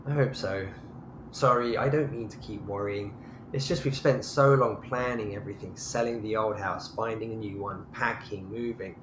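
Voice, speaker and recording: A man's voice: lowish voice, fairly even intonation, moderate pace, lightly acted. A man playing an anxious, hesitant, old-fashioned husband in a naturalistic audio drama. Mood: worried. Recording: echoey, clearly noisy ambience.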